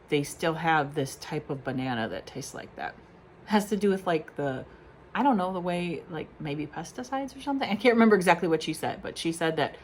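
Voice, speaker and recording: Woman vlogger, low voice, rambling and self-deprecating, slight background hiss. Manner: rambling, chatty, self-deprecating with many fillers. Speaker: beauty vlogger. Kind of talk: casual chat to camera.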